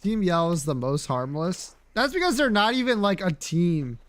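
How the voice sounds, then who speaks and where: A man's voice: high voice, very animated, moderate pace. A man livestreaming a game, chatting casually and bluntly with viewers in slangy, sweary, playfully opinionated talk. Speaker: livestreamer playing a video game. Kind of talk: casual gaming chat, reacting to viewers.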